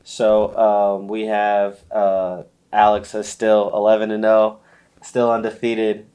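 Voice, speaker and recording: A stuffy-nosed man with a lowish voice and little rise or fall in his pitch. He runs a laid-back fantasy football chat, drifting through fillers and easy chuckles. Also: slow pace. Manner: relaxed, lots of fillers and light laughs. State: stuffy from allergies. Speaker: podcast co-host.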